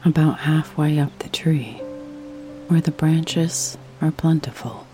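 A woman narrating a guided sleep meditation in a soft, breathy, soothing voice that nears a whisper, with long pauses. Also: low-pitched. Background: faint music.

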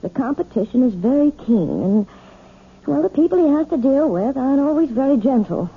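An actress playing a timid, proper wife who gives piano lessons, speaking gently and nervously in a 1970s radio drama. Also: female voice, high voice, expressive, fairly quick, husky, exaggerated voice. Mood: nervous, prim. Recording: tinny, narrow old radio broadcast.

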